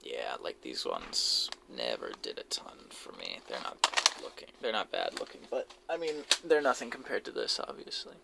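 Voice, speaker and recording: Man, low creaky voice, halting low-key hobbyist commentary, very noisy amateur recording with clicks. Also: wildly swooping pitch. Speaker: hobbyist video creator showing a cassette collection. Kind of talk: casual, unscripted show-and-tell commentary. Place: basement, indoors. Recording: noisy amateur audio with clicks and handling noise.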